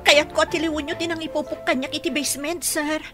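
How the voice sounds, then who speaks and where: A woman's voice: high-pitched, steady tone, quick pace, music in the background, close to an everyday voice. A woman playing an aggrieved, insistent woman in a radio drama, pleading and emphatic in her delivery. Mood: urgent, accusing. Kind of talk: radio drama acting in Ilocano.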